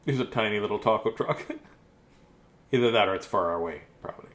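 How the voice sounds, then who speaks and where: A man's voice: lowish voice, brisk, fairly bright tone. A man reading children's stories aloud, chatting casually and breaking off into joking asides. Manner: chatty, self-interrupting, jokey asides. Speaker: children's story reader.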